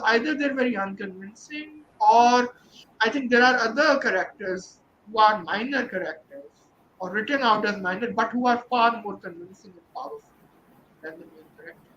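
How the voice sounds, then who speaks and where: A man's voice: extremely high pitch, moderate pace, sharp tone, highs cut off, slight background noise. A man reading novel excerpts aloud and then discussing them thoughtfully, with many fillers and restarts, on a virtual event call. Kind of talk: dramatic reading and literary discussion.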